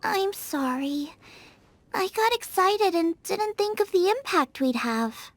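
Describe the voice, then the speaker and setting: A woman voicing a bubbly young female chef in a video game dub, eager, food-obsessed and quick to get excited. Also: very high-pitched, no echo, heavily acted. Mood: earnest. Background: soft game music.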